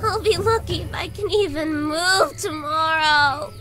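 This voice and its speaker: A woman's voice: extremely high pitch, unhurried, over music. A woman voicing a cheeky, dramatic young woman in a visual novel, exclaiming and complaining playfully. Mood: whiny complaint. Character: bubbly, dramatic, cheeky young woman, a trainee who loves comfort. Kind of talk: visual novel character dialogue.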